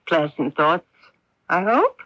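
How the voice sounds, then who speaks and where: A gentle, careful mother, middle-aged and protective of her grown son. She soothes and reassures in a radio drama style, heard on a dull, dry AM tape. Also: lowish voice, wildly swooping pitch, slow, dry recording, full character voice. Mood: gentle, probing. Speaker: narrator and lead character. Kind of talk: radio drama acting with first-person narration.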